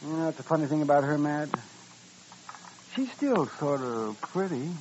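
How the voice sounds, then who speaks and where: An actor playing a crusty, grumbling old American frontier doctor in a radio western, raspy and cantankerous. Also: male voice, fairly high pitch, animated, a little mellow, a little hiss or hum. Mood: musing. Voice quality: raspy, husky. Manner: cantankerous, drawn-out, swooping delivery. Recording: dull old-time radio recording.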